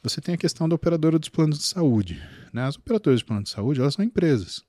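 A man with a Brazilian Portuguese accent, a physician talking on a podcast in an animated, emphatic storytelling way. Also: fairly high pitch, racing, no echo. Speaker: physician guest. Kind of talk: casual podcast interview.

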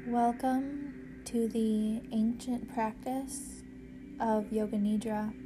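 A woman guiding a yoga nidra meditation in a measured, soothing, instructional way with pauses. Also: on the high side, fairly even intonation, over music, poor audio. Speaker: yoga nidra meditation guide and podcast host. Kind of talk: guided meditation narration.

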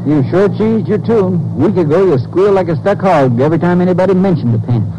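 An actor playing a folksy, needling sheriff with an American accent in a 1950s radio drama. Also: male voice, sits high, animated, quick pace, lo-fi sound. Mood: wry. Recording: faintly noisy 1950s radio recording.

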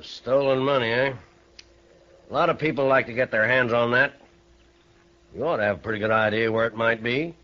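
An actor playing a shrewd, grudge-holding old ranch owner in a radio Western, with a folksy, needling American Western drawl. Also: male voice, medium pitch, fairly even intonation, moderate pace, long pauses, natural voice. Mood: sly, needling. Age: older. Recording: muffled vintage radio broadcast.

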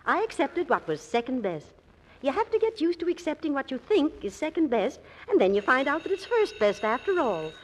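Motherly practical aunt, old radio play, super animated pitch, bit of noise. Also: a little fast, big-room sound. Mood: firm, gentle. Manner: motherly, firm, bustling. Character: kindly, practical, middle-aged aunt and bustling housewife. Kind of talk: 1940s radio drama acting. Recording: noisy old radio recording.

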